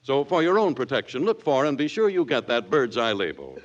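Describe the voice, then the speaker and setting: A man's voice: medium pitch, sing-song, fairly quick. A man reading a 1940s radio commercial as announcer, in a chatty, emphatic, folksy sales style. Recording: muffled old radio recording.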